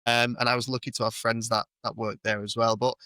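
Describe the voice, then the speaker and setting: A British man hosts a casual interview podcast with a bright, keen-edged voice. He talks in an informal, matey way. The sound is close and dry, with very little room in it. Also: mid-range voice, little pitch movement, brisk, smooth, no echo. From United Kingdom. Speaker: podcast host.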